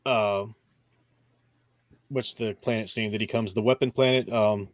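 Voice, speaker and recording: A man's voice: lowish voice, fairly even intonation, a little slow, no room sound, clean studio sound. A man hosting a casual discussion stream, rambling, restarting sentences and groping for names as he talks. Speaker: podcast host.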